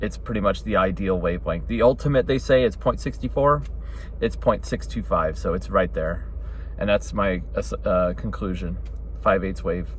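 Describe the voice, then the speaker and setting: A man's voice: sits low, moderate pace, slight background noise. A middle-aged man giving a casual, rambling opinion talk as a hobbyist, correcting himself and checking in with "right?". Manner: rambling, self-correcting, often adds "right?" and "you know". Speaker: hobbyist radio vlogger.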